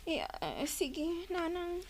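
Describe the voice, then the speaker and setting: A woman's voice: very high voice, sing-song, takes it slowly, heavily acted. A woman with an Ilocano accent playing a whiny, spoiled, plaintive young wife in a radio drama. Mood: meek.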